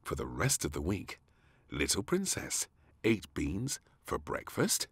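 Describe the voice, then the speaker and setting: Slow, very animated male storyteller. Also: sits low, a little hiss or hum. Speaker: storybook narrator. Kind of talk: expressive scripted narration for young children.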